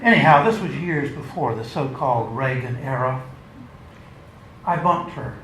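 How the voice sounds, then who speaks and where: A man giving a measured, theatrical and wry reading of literary fiction at a live event. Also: mid-range voice, animated. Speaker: author reading aloud. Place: indoor launch event before an audience. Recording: roomy live event, faint noise.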